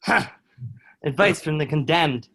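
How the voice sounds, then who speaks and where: Male actor as medieval guard, higher-pitched, dry, scornful, stage drama reading. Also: expressive, measured pace, vocal fry, pushed and bright, full character voice. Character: scornful male Burgundian soldier guarding a prisoner. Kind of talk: dramatic table read of a historical play.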